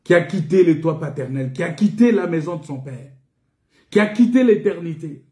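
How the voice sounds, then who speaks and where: Male preacher, high but mellow voice, didactic and repetitive, echoey indoor recording. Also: lively intonation, moderate pace. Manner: emphatic, repetitive, didactic with rhetorical questions. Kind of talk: sermon, teaching scripture. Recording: echoey, reverberant room.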